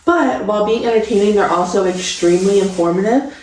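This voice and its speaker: A woman's voice: mid-range voice, a little fast, darkish tone. A woman of twenty-five chatting casually and enthusiastically as co-host of a vlog. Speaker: vlogger co-host. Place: home studio room, indoors. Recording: echoey room, distant mic.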